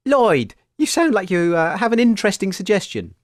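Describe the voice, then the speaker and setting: A man's voice: high voice, very animated, moderate pace, dry recording, clean studio sound. A man co-hosting a comedy radio show in a mock-formal, bemused presenter manner.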